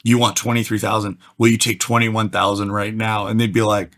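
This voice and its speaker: A man from Atlanta, slightly hoarse, telling his story as an energetic, fast-talking podcast guest. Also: lowish voice. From Atlanta, USA. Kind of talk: casual storytelling interview.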